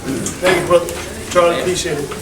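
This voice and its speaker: Loud folksy man in echoey hall. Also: fairly high pitch, steady tone, fairly quick, distant mic, heavy background noise. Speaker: meeting chairman. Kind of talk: public address to a meeting. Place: community center hall.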